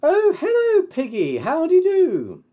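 A storyteller puts on a young, fearless fairy-tale girl. The voice is high and sweet, with a breezy, polite sing-song lilt. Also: wildly swooping pitch, a little slow, mellow, heavily acted. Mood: polite, airy.

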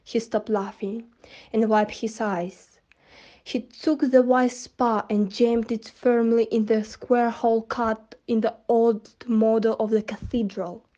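A young woman reads literary prose at an unhurried pace. Her voice sits a little high with a mellow tone, and the recording is dull and lo-fi. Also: level tone. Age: young adult. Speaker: student reader. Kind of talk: reading literary prose aloud. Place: indoor room in front of curtains.

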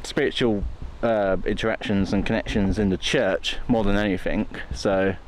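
A man's voice: fairly low pitch, fairly even intonation, normal speed, a little hiss or hum, rough recording. A man chatting informally as a vlog host, rambling through his thoughts on a handheld recording outdoors. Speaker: video host exploring haunted places. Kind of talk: casual vlog chat to camera. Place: outdoors on a woodland walk. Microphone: handheld phone.

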